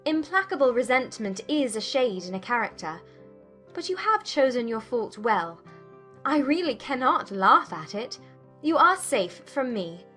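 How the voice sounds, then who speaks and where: A woman playing a witty, spirited young Regency gentlewoman in a dramatized audiobook, teasing and articulate in formal speech. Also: a little high, sing-song, measured pace, heavily acted. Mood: playfully challenging. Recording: music bed under the voice.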